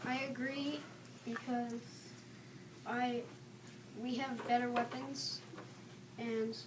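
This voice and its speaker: A woman's voice: a little high, level tone, slow. A young female student giving reasons in a classroom debate. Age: child or young student. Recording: distant mic, clearly noisy room.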